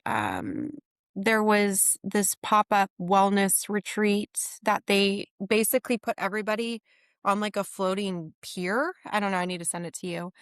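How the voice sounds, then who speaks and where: Slangy, expressive woman, dry-sounding recording. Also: mid-range voice, measured pace, no echo. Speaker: podcast co-host. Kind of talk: casual chat.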